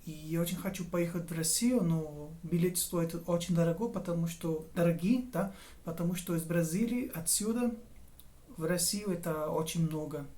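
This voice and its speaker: A young man from Brazil with a Brazilian Portuguese accent, a Portuguese native speaker talking casually to camera in halting speech, often stopping to search for words and correct himself. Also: fairly high pitch, darkish tone. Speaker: language learner and tutor.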